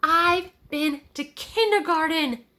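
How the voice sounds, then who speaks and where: A woman's voice: very high voice, slow, bright, forceful tone, pitched above the performer's own voice, exaggerated voice. A woman voicing a proud, eager little kindergarten boy in a children's storybook read-aloud. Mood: proud, excited.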